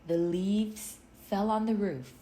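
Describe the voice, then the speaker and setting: A woman reading a children's story aloud in a deliberate, expressive way, drawing out the sound words. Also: medium pitch. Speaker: storybook reader. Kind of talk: slow children's read-aloud. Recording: roomy echo, faint noise.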